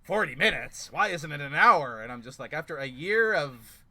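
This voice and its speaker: A man's voice: fairly high pitch, animated, normal speed, sharp tone. A man hosting a casual gaming chat on an online call, rambling with lots of fillers and the odd swear. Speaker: podcast host.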